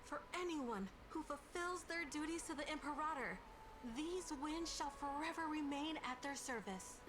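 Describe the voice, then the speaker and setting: A woman's voice: high voice, expressive, moderate pace, noisy background. A woman voicing a shy young poet and scribe in a video game, with a timid, hesitant manner. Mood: reverent. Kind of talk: scripted video game voice acting.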